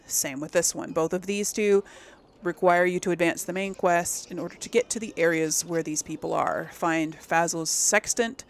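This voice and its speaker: A woman with a slightly low voice hosts a casual let's play. She talks to her audience in a chatty, informal way and thinks aloud as she plays. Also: normal speed. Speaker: gaming video host.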